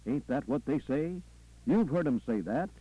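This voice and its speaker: A man's voice: mid-range voice, animated, normal speed, mellow, no room sound, lo-fi sound, pitched above the performer's own voice, lightly acted. A man performing a fervent preacher delivering a rhythmic, folksy call-and-response funeral sermon full of repetition. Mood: challenging, insistent. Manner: folksy, rhythmic repetition with punctuating "aha" and "ah, well".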